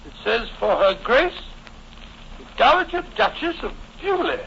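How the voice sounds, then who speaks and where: A bumbling, kindly British gentleman in an old radio play, his voice high and swooping. He stammers and fusses as he goes, through a dull, noisy vintage recording. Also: very animated, normal speed, creaky, heavily acted. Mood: jovial, flustered. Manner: flustered, stammering, fussy. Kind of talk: 1940s radio acting.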